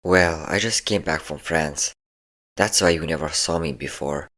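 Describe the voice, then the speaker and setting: Man acting as ancient male vampire, low-pitched voice, flirtatious, taunting, menacing, intimate audio roleplay. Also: a bit bright. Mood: amused, flirtatious. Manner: taunting, flirtatious, slow endearments.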